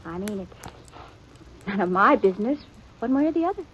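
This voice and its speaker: An actress playing a shy, proud young country stepdaughter, halting and earnest in an old-time radio western. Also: female voice, medium pitch, lively intonation, a little hiss or hum, natural voice. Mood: proud, defensive. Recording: muffled old radio broadcast.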